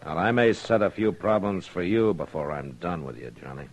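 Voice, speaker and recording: Deep-voiced, weary frontier marshal. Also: male voice, lively intonation. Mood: grim. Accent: American. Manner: terse, measured, gruffly courteous. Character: weary, steady frontier lawman. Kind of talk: old-time radio drama acting. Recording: noisy old radio recording.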